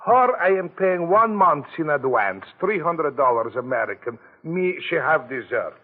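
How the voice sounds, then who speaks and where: Pleading foreign heavyweight, broken english, husky voice, swoops up and down, tinny radio broadcast. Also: male voice, fairly high pitch, sing-song, a little slow, full character voice. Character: heavy foreign man in a plaster cast, anxious and pleading. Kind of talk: 1940s radio acting.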